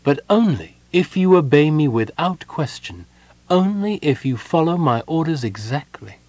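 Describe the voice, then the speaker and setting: A man voicing a self-assured, fanatical female war leader in an audiobook, cool, deliberate and solemn. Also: a little high, big pitch swings, a little mellow, no room sound, pitched above the performer's own voice, low-quality recording.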